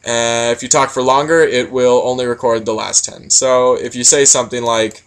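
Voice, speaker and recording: A man's voice: medium pitch, fairly even intonation, normal speed, smooth. Casual man giving a product critique. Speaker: product reviewer.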